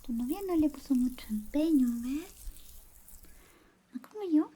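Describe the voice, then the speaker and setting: High-pitched, soft young woman murmuring slowly. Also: animated. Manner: coy, playful, doting, murmuring little interjections. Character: affectionate, teasing, jealous young female best friend in love with the listener. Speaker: ASMR performer. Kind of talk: soft-spoken ASMR roleplay with personal attention, partly whispered.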